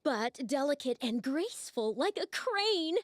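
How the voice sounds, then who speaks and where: A woman's voice: high voice, sing-song, unhurried, no echo. A woman playing a starstruck, flustered young journalist in a video game, stammering with enthusiasm. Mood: excited, flustered.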